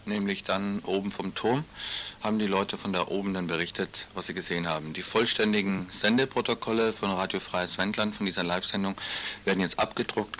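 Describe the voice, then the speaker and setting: A man's voice: sits low, pushed and bright, a little hiss or hum. A man presenting a radio program, plainly explaining past events in a conversational way. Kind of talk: retrospective narration.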